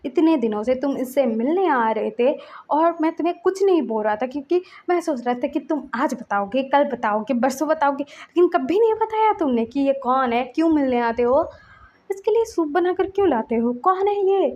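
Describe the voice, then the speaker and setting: A woman's voice: sits high, expressive, a little mellow, muffled recording. A woman voicing a hurt, jealous young male lover in a story, accusing and indignant with insistent questions. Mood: angry, accusing. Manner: insistent, rapid-fire rhetorical questions.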